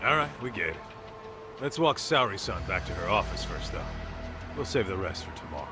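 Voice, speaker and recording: An actor playing a brash, wisecracking ex-gangster in an English game dub, with a casual way of talking. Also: male voice, sits low, very animated, a little fast, over music.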